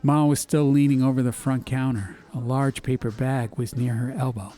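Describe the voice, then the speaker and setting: A man's voice: mid-range voice, fairly even intonation, moderate pace, mellow. A man narrating a scripted story as an audiobook, with ambient noise behind his voice. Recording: background ambience noise under voice.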